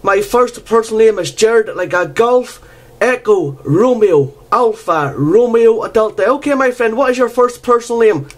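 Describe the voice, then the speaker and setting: A man with a Northern Irish accent talking as an amateur radio operator, courteous and deliberate, repeating key phrases over two-way radio. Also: high-pitched, animated, a little hiss or hum. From Northern Ireland. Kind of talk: radio contact exchange with call signs and phonetic spelling.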